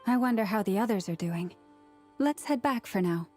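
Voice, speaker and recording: A woman's voice: medium pitch, animated, normal speed, darkish tone, soft background music, close to an everyday voice. An actress playing an innocent, curious young woman in a video game, mild and polite. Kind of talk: video game character acting.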